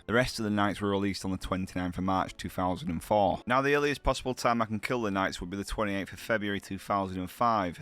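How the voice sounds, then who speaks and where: A man's voice: lowish voice, a bit bright. A man with a heavy cold, talking through his gameplay in a chatty, upbeat way, full of slang. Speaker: gaming channel host. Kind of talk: gameplay commentary.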